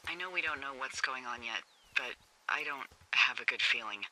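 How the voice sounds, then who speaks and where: An actress playing a wry, uneasy American fire lookout in a video game, speaking hesitantly and conversationally through a walkie-talkie filter. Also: female voice, very deep voice, normal speed, bright, forceful tone, slight background noise, exaggerated voice, poor audio. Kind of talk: naturalistic video game voice acting.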